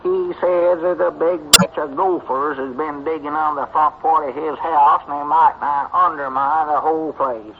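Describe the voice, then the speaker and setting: An actor playing a gullible, folksy old country storekeeper with a rambling rural Southern American manner in an old-time radio comedy. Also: male voice, on the high side, fairly even intonation, normal speed, few pauses, slightly rough. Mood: concerned. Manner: rambling, homespun, naive. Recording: muffled, noisy vintage radio recording.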